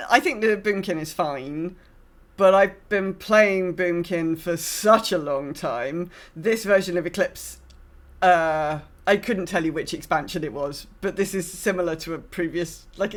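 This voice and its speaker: A woman co-hosting a gaming podcast in casual chat, thinking aloud in a hesitant, hedging way. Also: fairly low pitch. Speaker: podcast co-host.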